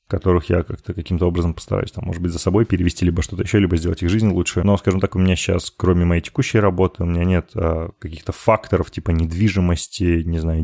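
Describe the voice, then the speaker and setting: Casting: man from Chelyabinsk, rapid chatty interview guest, dry close sound. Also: sits low, a little mellow, no room sound. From Chelyabinsk, Russia. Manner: quick, chatty and joking, with playful asides. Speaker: podcast guest. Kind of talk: casual interview chat.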